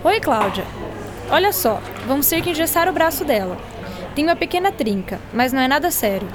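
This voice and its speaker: High-pitched friendly Brazilian doctor. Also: female voice, very animated, brisk, music in the background. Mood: reassuring. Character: friendly, reassuring woman doctor treating a child. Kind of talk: radio drama acting. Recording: noisy ambience behind voice.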